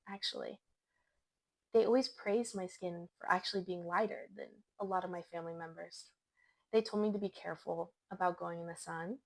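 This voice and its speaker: Creaky-voiced young woman, earnest narration. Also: medium pitch. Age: young adult. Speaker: student presenter. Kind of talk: scripted personal essay narration.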